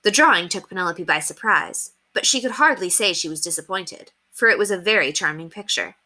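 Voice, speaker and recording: Animated, bright-voiced woman reading a story. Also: fairly low pitch, fairly quick. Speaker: read-aloud storyteller at an elementary school. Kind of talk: expressive scripted narration of a children's novel.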